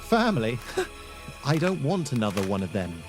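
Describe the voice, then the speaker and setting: Echoey actor as a wary, lonely war survivor. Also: male voice, medium pitch, huge pitch swings, normal speed. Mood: wounded. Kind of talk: audio drama acting. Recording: echoey, music underneath.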